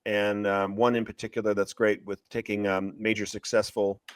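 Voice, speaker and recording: A man talking as an interviewed author and screenwriter, retelling a story in an excitable, emphatic, repetitive way. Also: lowish voice, normal speed, clear, resonant tone, no echo, studio quality. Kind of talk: animated promotional interview talk, retelling a story.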